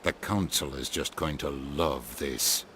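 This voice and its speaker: Curt bright-voiced ambassador. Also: male voice, rumbling low, slow, slight background noise, exaggerated voice. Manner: curt, exasperated. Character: irritable human ambassador, curt.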